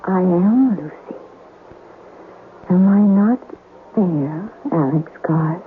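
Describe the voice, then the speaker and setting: Slow, seductive ghost lady. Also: fairly low pitch. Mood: coy, seductive. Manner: coy, languid, wheedling. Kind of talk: old-time radio drama acting. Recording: tinny old radio, faint noise.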